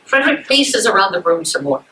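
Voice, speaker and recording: A woman's voice: medium pitch, big pitch swings, a little fast, bright, forceful tone, slight background noise, low-quality recording. A woman reading stage directions as scripted narration at a live reading in a room. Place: indoor room at a public library.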